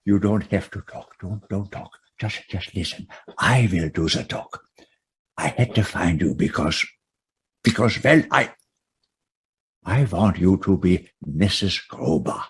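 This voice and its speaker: A very husky, eager farmer suitor. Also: male voice, sits low, expressive, heavily acted. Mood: nervous pleading. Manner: halting, repeats himself as he pleads. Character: eager, nervous, tender immigrant farmer in love. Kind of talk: old-time radio western acting.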